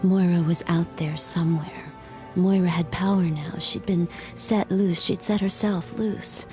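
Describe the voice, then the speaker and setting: A woman narrating as a tired, guarded young woman, quiet and reflective. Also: fairly low pitch, steady tone, a little slow, close to an everyday voice. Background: music.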